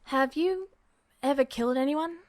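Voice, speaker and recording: A woman's voice: sits high, normal speed, no echo, lightly acted. Brash, irritable young man played by a female reader, casual story dialogue, blunt delivery, dry sound. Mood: uneasy.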